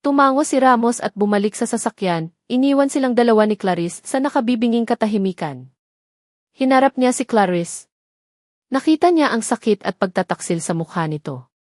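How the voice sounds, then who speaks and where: A woman's voice: on the high side, lively intonation, brisk, a little mellow, dry recording. Woman narrating a dramatic romance story, dry sound.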